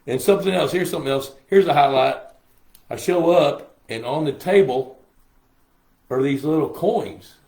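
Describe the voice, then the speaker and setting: An older man, a little hoarse, chatting casually with livestream viewers in a folksy way. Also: fairly high pitch, moderate pace, darkish tone. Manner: chatty, folksy, reads viewer comments aloud. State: hoarse from a lingering cough. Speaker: host. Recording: roomy echo on a live stream.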